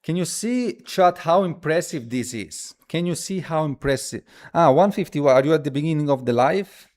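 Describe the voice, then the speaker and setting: A man who speaks as a hosts a casual live coding stream, thinking aloud haltingly and repeating his phrases as he demonstrates. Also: a little high, animated, darkish tone. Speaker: livestream host.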